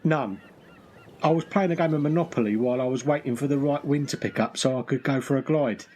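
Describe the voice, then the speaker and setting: A man's voice: medium pitch, brisk, darkish tone, a little hiss or hum, close to an everyday voice. A man playing a pedantic, polite newcomer in a comic radio play, literal-minded and always clarifying. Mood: explaining.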